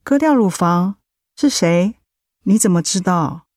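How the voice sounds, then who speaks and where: A woman playing a caring high school homeroom teacher in a radio drama, patient and coaxing, with a Taiwanese Mandarin accent. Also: animated, unhurried, no room sound, clean studio sound. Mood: surprised concern. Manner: gentle, coaxing.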